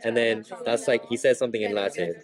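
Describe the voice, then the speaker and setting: A man's voice: medium pitch, fast talker. A young man in a graduation gown, chatting in a dry, mock-serious way on a coach bus, picked up by a friend's camera. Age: young adult. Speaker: fellow graduate. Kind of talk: casual chat. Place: inside a crowded coach bus. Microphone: friend's camera close by. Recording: chatter of a crowd behind.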